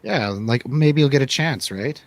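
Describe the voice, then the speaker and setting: Male radio host for loose movie chat, mid-pitched, colloquial and jokey, easy to agree. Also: expressive, a little slow. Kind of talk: casual movie review chat.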